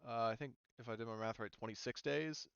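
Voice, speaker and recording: Casting: man, casual tabletop game master, smooth middle-pitched voice, halting thinking-aloud delivery. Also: a bit bright, no room sound, lo-fi sound. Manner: halting, thinking aloud while checking rules.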